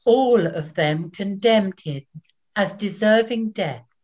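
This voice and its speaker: Older woman, measured scripture narration, slow delivery, lo-fi webcam audio. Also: mid-range voice, expressive, darkish tone. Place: small plain room at home.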